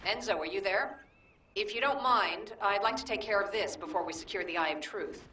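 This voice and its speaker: A woman plays a composed female field operative from a video game. She is matter-of-fact and purposeful, at a medium pitch. The sound is echoey and somewhat distant. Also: fairly quick, pushed and bright, a little hiss or hum, close to an everyday voice. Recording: echoey room, distant from mic.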